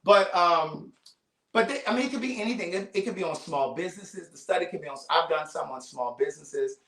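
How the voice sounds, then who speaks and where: Animated, higher-voiced man in echoey room. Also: fairly quick. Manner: animated storyteller, playful, rambling asides. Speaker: host. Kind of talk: casual conversational chat.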